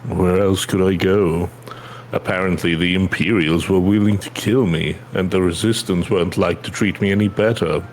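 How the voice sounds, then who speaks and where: Man as a deep, weary ex-soldier. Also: fairly even intonation, moderate pace, slight background noise, lightly acted. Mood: resigned, confessional. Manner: plain-spoken, subdued. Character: weary, earnest male ex-soldier in hiding, resigned. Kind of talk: video game dialogue read aloud.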